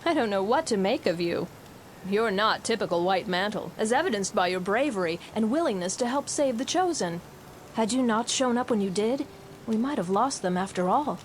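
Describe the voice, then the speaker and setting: An actress playing an earnest, resolute rebel leader with formal, measured speech in a fantasy game cutscene. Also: female voice, mid-range voice, animated, a little hiss or hum, lightly acted. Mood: firm, explaining.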